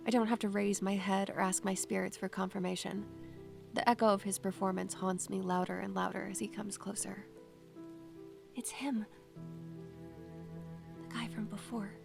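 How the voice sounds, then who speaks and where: A woman's voice: mid-range voice, steady tone, normal speed, creaky, pauses often, music in the background. A woman playing a wistful young student who talks to spirits, in a poetic, dramatic audio drama style. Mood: haunted, wistful. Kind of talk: audio drama with poetic first-person narration.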